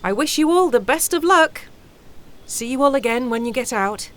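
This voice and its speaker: A woman playing a helpful, chatty former pilot in an amateur audio play, speaking in a deliberate, friendly way. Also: high-pitched, animated. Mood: matter-of-fact. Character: helpful, chatty female ex-pilot offering a rescue flight. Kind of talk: amateur audio drama acting.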